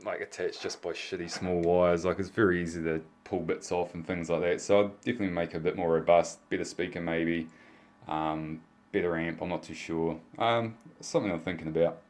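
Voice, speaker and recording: A man's voice: sits low, fairly even intonation, a little fast. A man gives a casual, unscripted project demonstration in a hesitant, self-deprecating way. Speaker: hobbyist video maker. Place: indoors at a home workbench.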